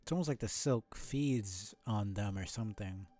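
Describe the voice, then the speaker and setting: A man's voice: lowish voice, moderate pace, mellow, soft background music. A man streaming a video game with casual live commentary, musing aloud to himself and swearing now and then.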